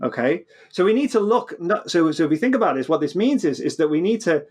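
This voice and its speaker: A man's voice: fairly high pitch, big pitch swings, quick pace, darkish tone, breathy edge. A man explaining things as an interview guest, often stammering and repeating the start of his phrases. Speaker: physicist guest. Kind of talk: animated explanatory interview talk.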